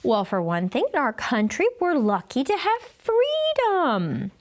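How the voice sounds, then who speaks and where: A patient male grade-school teacher from a children's storybook, acted out by a woman. The delivery is slow and lilting, halting at times, with gentle, bemused questions to the class. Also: sits high, huge pitch swings, voice pushed up, full character voice. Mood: encouraging.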